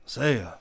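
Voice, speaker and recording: A man's voice: a bit bright, natural voice. A man playing a weary, haunted Old West lawman in a radio drama. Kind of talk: old-time western radio drama acting.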